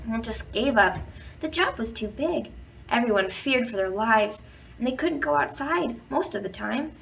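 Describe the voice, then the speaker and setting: A woman's voice: high-pitched, vocal fry. Lady reading kids a bedtime book, stretches words out, kinda far from the mic w a bit of background noise. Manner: storytelling to children, expressive emphasis and drawn-out words. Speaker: children's bedtime story reader. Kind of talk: reading a picture book aloud with playful asides. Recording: dull, distant home recording with background noise.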